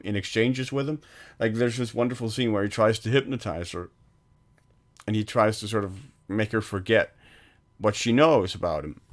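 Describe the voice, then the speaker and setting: A man co-hosting a film podcast, talking casually and rambling with fillers and restarts. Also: fairly low pitch.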